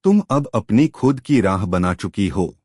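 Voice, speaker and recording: A man voicing a wise, gentle, encouraging mother-in-law in a storybook tale, calm and instructive. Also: lowish voice, unhurried, no room sound, studio quality.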